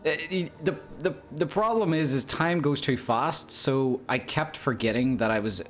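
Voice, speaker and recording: A man's voice: mid-range voice, normal speed, faint music behind. A man in his twenties chatting casually with viewers on a live stream, rambling and joking at his own expense. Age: 20s. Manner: rambling, joking, self-deprecating, laughs often.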